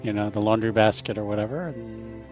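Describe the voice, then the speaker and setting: A man's voice: lowish voice, fairly even intonation, fairly quick. A man chatting casually as a player at a tabletop roleplaying game. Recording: dull sound with music behind. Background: background music.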